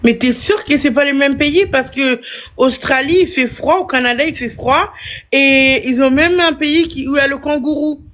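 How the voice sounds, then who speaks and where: A woman's voice: high voice, normal speed, fairly bright tone. Woman streamer arguing playfully on a live. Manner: teasing, argumentative, repeats herself. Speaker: livestream host. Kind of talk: casual live stream chat.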